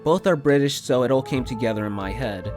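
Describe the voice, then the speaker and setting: Guy reading a scripted video narration, normal pitch, bit of music behind. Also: fairly quick. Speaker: voice actor and video creator.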